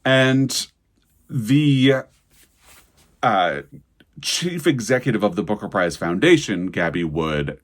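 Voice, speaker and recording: Guy with a pretty low voice doing casual video commentary, chatty and into it. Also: animated, takes it slowly, long pauses. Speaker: host of a book news video channel.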